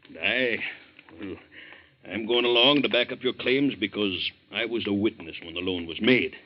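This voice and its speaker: A man's voice: fairly low pitch, moderate pace, close to an everyday voice. An actor playing an anxious, fatherly sea captain in a folksy, hesitant 1940s radio drama style. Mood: worried pleading. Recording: muffled 1940s radio recording.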